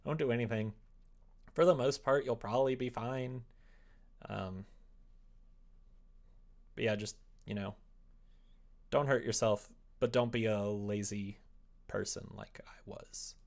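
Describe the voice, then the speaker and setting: A young man chats casually on a livestream while he works, thinking aloud in a halting way and often trailing off. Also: lowish voice, gravelly, a little mellow. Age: young adult. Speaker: livestreamer writing a visual novel. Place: small room at home, indoors.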